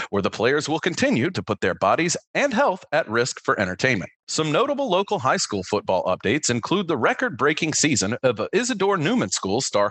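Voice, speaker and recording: Male sports-radio host, satirical scripted headlines, hugely animated intonation, mock-excited announcer delivery, dry studio-tight sound. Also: mid-range voice, slightly rough, dry recording.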